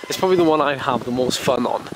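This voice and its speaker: A man's voice: medium pitch, fast talker. Vlogging cyclist, male, from the UK, a bit congested, rambling and casual, with faint noise behind. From United Kingdom. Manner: rambling, many fillers and restarts. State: ill, slightly congested. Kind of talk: casual vlog talk to camera.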